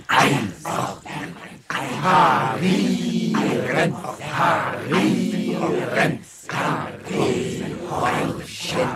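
A man playing a stern, relentless voice of schooling, chanting in an incantatory way in a stylised radio play. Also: mid-range voice. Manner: incantatory, repetitive, drilling.

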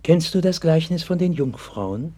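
An actor playing a gentle, devout sixteenth-century pastor in an old German radio play, speaking solemnly and patiently. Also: male voice, a little high, animated, unhurried, breathy edge. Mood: gentle, consoling. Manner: solemn, patient, earnest preaching cadence. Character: gentle, devout 16th-century pastor comforting a sick child.

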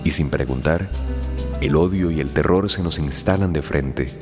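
A solemn man of radio drama, with a very low voice in long reverb. He speaks gravely and in measured phrases, with music behind him. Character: solemn male narrator and calm rescuer.

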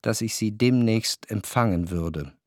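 An actor playing a nostalgic gentleman narrator in a literary radio drama, recalling his past in contemplative, lyrical, measured phrases. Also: fairly low pitch, natural voice. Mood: wistful, contemplative. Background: faint instrumental music.

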